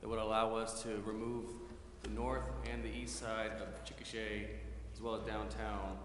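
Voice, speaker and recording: An American man explains things formally in a low, level voice. The room is very echoey, with clear noise behind him. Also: flat delivery, moderate pace. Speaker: city emergency management representative. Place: echoey council chamber.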